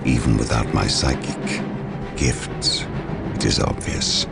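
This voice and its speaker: An actor playing a stern, menacing psychic space marine librarian in a video game cutscene, speaking in a measured, imperious way. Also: male voice, steady tone, heavily acted. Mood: stern, commanding. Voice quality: deep, gravelly. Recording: loud game music behind the voice.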